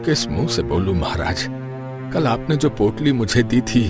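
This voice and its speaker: A man's voice: mid-range voice, big pitch swings, moderate pace, lightly acted. A man voicing a humble, honest brahmin in a cartoon story, who speaks deferentially and hesitantly. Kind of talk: cartoon voice acting. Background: background music.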